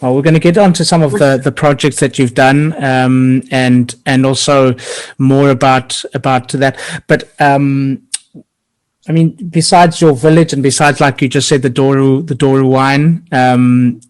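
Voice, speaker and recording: A man hosting a podcast interview, upbeat and friendly, often pausing with ums and restarting his sentences. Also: mid-range voice, flat delivery, darkish tone. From Portugal. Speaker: podcast host.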